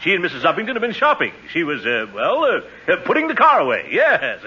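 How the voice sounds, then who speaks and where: Pompous, scheming neighbour: blustery stammer, highish swooping delivery, noisy muffled old radio recording. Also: male voice, wildly swooping pitch, quick pace, sharp tone, husky, full character voice. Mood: flustered. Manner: stammering, blustery, nervous chuckles. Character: flustered, pompous, scheming middle-aged male neighbour. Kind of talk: 1940s radio comedy acting.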